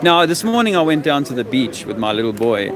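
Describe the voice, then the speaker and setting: A man's voice: medium pitch, very animated, fairly quick. Man, South African accent, earnest, explanatory, tired, busy street crowd behind. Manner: earnest, explanatory, eager to make his case. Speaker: interviewee. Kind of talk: casual outdoor conversation.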